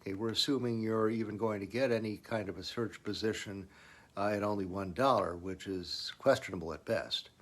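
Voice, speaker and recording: A man's voice: fairly low pitch, steady tone, brisk, a bit bright. A man presenting business advice in a semi-scripted, instructional explainer style, putting emphasis on key points.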